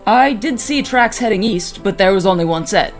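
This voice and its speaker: A woman's voice: mid-range voice, expressive, moderate pace, faint music behind, close to an everyday voice. An actress playing a steadfast orc warrior woman in a fantasy audio drama, formal and plain-spoken. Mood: thoughtful. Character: steadfast female orc warrior with mace and shield.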